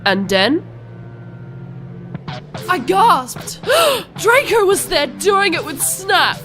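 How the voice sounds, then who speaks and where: A woman's voice: extremely high pitch, big pitch swings, drawn-out words, bright, forceful tone, faint music behind, exaggerated voice. A woman playing a self-dramatising teenage goth girl, melodramatically narrating her own story in a scripted cartoon parody. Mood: melodramatic. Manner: melodramatic, reads typos and numbers literally.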